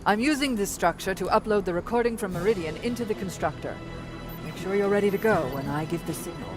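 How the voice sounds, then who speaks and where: A woman's voice: medium pitch, fast talker, lightly acted. An actress playing a brilliant older female scientist in a video game, speaking with precise authority. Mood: businesslike. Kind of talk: dramatic video game acting. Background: loud dramatic music.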